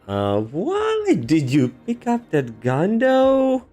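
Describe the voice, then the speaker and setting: A man's voice: high-pitched, huge pitch swings, slow, warm tone, soft background music. A man giving casual, exclamatory reaction commentary as he plays a game, with plenty of swearing. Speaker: gamer reacting to a story quest.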